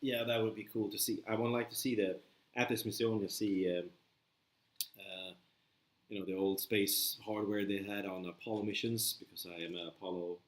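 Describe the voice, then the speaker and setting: A man's voice: on the low side, fairly even intonation, normal speed, roomy sound, a little hiss or hum. A man from Sweden with a Swedish accent, chatting casually to viewers of his live stream while building, rambling and answering comments. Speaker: livestream host building a model kit.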